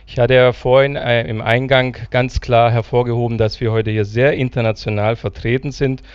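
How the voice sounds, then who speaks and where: A man's voice: medium pitch, flat delivery, moderate pace, clear, resonant tone, dry recording, dull audio. A middle-aged man hosting an interview on a church stage, asking formal, polite questions. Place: church hall.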